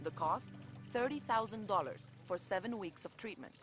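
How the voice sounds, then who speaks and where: A woman narrates a television news report as its correspondent. Her pitch moves in a lively way through measured, expressive sentences. Soft music plays faintly underneath.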